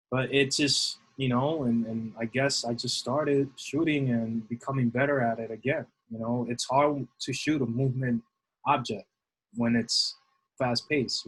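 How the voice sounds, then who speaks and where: Middle-aged New man, husky, rambling guest answers. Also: medium pitch, low-quality recording. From New York City. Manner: rambling, many fillers and restarts. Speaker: guest, assistant baseball coach. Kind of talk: casual interview answers.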